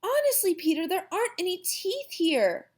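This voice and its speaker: A woman's voice: very high voice, very animated, normal speed, pitched above the performer's own voice. A woman voicing a dramatic, defensive young schoolgirl with a whiny manner in a children's story. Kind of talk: children's audiobook character voice.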